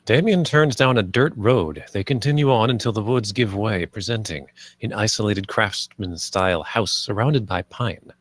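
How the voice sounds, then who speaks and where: A man's voice: fairly low pitch, normal speed. A man reading scripted stage directions as the narrator of a live screenplay table read.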